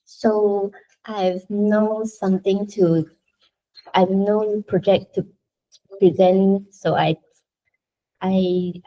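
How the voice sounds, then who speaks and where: A young woman from Malaysia, a Chinese speaker, giving a chatty, halting self-introduction to her university class. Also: mid-range voice, fairly even intonation, warm tone, low-quality recording. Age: young adult. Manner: chatty, halting, frequent restarts. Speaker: university student presenter.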